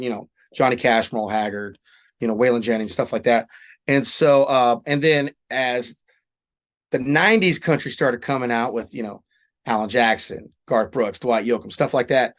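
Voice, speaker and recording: A man's voice: mid-range voice, moderate pace, a bit bright. A middle-aged man from Southern California, a guest and singer-songwriter, telling stories in casual interview chat with candid, casual swearing.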